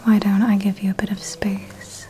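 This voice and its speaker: A woman playing a refined, protective vampire mentor in an ASMR roleplay, confiding discreet gossip in a soft, measured whisper. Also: mid-range voice, level tone, natural voice. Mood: protective, teasing.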